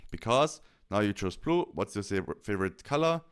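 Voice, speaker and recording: Smooth, low-voiced man giving a software tutorial. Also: sing-song, moderate pace. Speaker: tutorial presenter. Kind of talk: software tutorial walkthrough, explained step by step.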